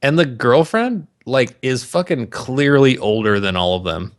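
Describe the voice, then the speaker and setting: A man's voice: medium pitch, expressive, unhurried. Sarcastic man bantering on a podcast. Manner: sarcastic, wisecracking. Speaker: podcast co-host. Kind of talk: casual, profane banter about movies.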